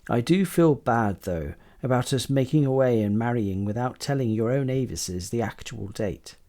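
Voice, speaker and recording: An actor playing a contented, affectionate newlywed husband in a period audio drama, with playful, old-fashioned phrasing. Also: male voice, medium pitch, lively intonation, normal speed, mellow, close to an everyday voice. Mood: tender, earnest. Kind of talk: period radio drama dialogue.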